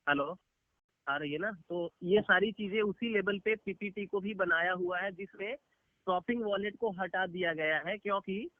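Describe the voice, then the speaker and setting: A man's voice: sits high, no room sound. A man giving a formal, explanatory business briefing as a company executive over a phone call, mixing in English business terms. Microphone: telephone. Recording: narrow, tinny phone call recording.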